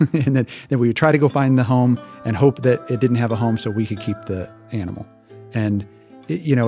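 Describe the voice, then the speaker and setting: Mellow-voiced man telling stories. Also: fairly low pitch. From Hawaii. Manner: relaxed, laughs often, restarts words. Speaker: guest. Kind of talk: casual interview storytelling.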